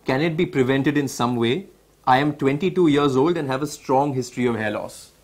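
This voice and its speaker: A man with an Indian accent hosting a medical question-and-answer session, explaining and restating points clearly for viewers. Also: mid-range voice, brisk, darkish tone. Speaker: webinar host. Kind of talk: moderating a medical Q&A, reading and recapping.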